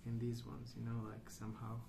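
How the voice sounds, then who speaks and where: A man speaking softly and hushed in an unhurried, halting ASMR ramble, often trailing off. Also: mid-range voice, monotone. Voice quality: soft, hushed. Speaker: ASMR video host. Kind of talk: soft-spoken ASMR rambling. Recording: clear background noise.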